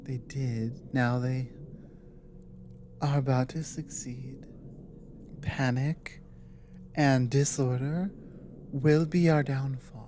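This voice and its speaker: A woman voicing a grave, war-weary female dragon in an ASMR roleplay, speaking earnestly and haltingly with dramatic pauses. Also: a bass voice, fairly even intonation, mellow, slight background noise. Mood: haunted, distressed.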